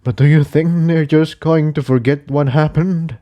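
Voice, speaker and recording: A man's voice: on the high side, steady tone, moderate pace, warm tone, voice pushed up, lightly acted. A man voicing an elderly, weary pony ruler with a quavering, solemn delivery in a story reading.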